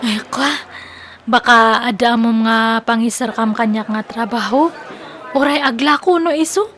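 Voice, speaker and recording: An actress playing a discontented, whiny young wife and daughter in an Ilocano radio comedy drama, speaking in a plaintive, dramatic way. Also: female voice, fairly high pitch, unhurried, a bit bright, a faint crowd. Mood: coy, subdued. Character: discontented wife, whiny daughter leaving her sick husband.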